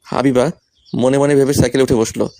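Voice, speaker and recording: Young male narrator and lead for romantic audio story, mid-range pitch, scripted delivery. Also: steady tone, fairly quick, warm tone, slight background noise.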